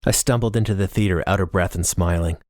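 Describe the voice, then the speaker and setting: Male narrator, low voice, scripted first-person storytelling, audiobook, dry close sound. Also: quick pace, darkish tone, no room sound.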